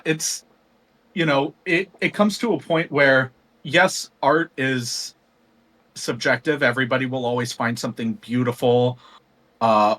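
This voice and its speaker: A man talking as a guest singer in a casual interview, thoughtful and rambling with many pauses and ums. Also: mid-range voice, fairly bright tone.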